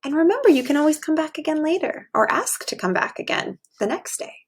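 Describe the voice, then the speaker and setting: High-voiced woman teaching young children. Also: a little fast. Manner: expressive, simple explanations with questions to children. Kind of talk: read-aloud lesson for young children.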